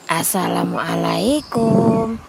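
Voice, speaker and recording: A woman's voice: medium pitch, drawn-out words, creaky, exaggerated voice. A woman voicing a young wolf in a children's fable, a polite lost stranger asking for help, on a noisy recording. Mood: calling out politely.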